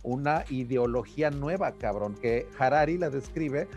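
A man's voice: medium pitch, animated, normal speed, darkish tone, muffled recording, soft background music. A Mexican man with a Mexican accent, talking in an emphatic, slangy casual chat, peppered with "cabrón" and "o sea".

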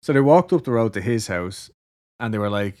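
A man's voice: on the low side, animated, a little fast, a little mellow. A man with an Irish accent casually telling a story to a co-host, laughing often and swearing freely. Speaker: podcast co-host.

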